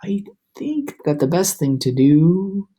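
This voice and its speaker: A woman's voice: fairly low pitch, big pitch swings, slow pace, dark tone, breathy edge, heavily acted. A woman voicing an earnest, cautious young boy who speaks hesitantly. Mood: alert.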